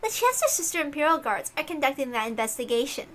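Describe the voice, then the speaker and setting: A woman's voice: sits high, very animated, fast, voice pushed up. A woman voicing a prim, ruthless young female inquisition officer, formal and coldly dutiful, in a visual novel read-aloud. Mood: stern, ruthless.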